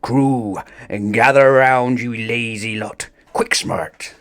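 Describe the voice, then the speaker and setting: A man's voice: mid-range voice, steady tone, slow, fairly bright tone, clean tone, voice pushed up. A man voicing a hearty, blustery pirate captain with a greedy streak in a storybook. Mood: bossy.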